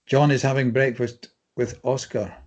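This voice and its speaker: A man's voice: medium pitch, level tone, normal speed, darkish tone. A man reading stage directions as scripted narration for a drama table read.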